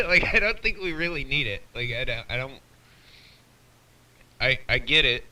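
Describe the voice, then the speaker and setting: A man's voice: mid-range voice, lively intonation, a little slow, pushed and bright. A man chatting casually over CB radio in a plain-spoken, slightly grumbling way, with a distant, hissy sound. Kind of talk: casual chat. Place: on a CB radio live feed.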